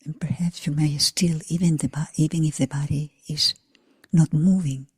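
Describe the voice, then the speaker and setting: A woman leading a guided meditation for a group in a hushed, breathy near whisper, unhurried, with long pauses between short phrases. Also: deep voice, steady tone, dry recording. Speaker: meditation teacher.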